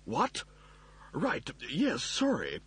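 Storybook mechanoid servant, male reader: anxious, apologetic, hesitant, unhurried delivery with lively intonation. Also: on the high side, husky, pitched above the performer's own voice, heavily acted. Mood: meek, apologetic. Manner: deferential, hesitant, halting.

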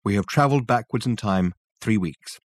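A man's voice: fairly low pitch, normal speed, no room sound, studio quality, lightly acted. A man doing a vague, eccentric old professor. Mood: mild.

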